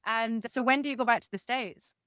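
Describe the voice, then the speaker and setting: A woman's voice: fairly high pitch, fairly quick, fairly bright tone, smooth, no room sound, clean studio sound. A woman hosting a podcast interview, asking conversational questions and responding in an encouraging way.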